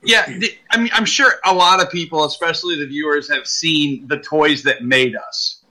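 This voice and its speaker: Man in his 40s, high and very bright voice, earnest podcast guest musing on toys. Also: normal speed, poor audio. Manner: reflective, earnest. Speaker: podcast guest, toy show creator. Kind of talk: casual podcast chat.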